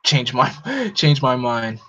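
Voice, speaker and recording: A man hosting a casual live stream, talking in an opinionated, animated way that jumps up in pitch when he gets excited. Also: slow pace. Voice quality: no regional accent. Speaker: streamer host. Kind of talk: casual chat to a live audience. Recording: dull lo-fi home stream audio.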